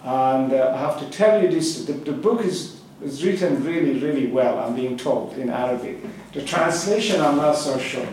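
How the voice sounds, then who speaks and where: A man whose first language is Farsi, giving a public talk at a book gathering, with many pauses, fillers and restarts. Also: medium pitch, a little mellow, a little hiss or hum. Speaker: guest speaker at a book club gathering. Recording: echoey hall, far from the mic.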